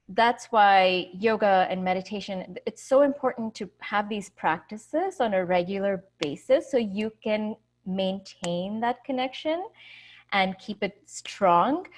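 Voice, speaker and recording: Indian-born woman yoga teacher on a call. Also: medium pitch. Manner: warm, laughs easily, many fillers. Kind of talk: casual talk on a video call. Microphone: video call.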